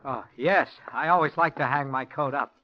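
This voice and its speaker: A man's voice: medium pitch, close to an everyday voice. A man playing a meek, eerie young handyman. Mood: quietly odd. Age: young adult. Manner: soft, slow, fussy. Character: meek, odd young male handyman, quietly unsettling. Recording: lo-fi 1940s radio broadcast.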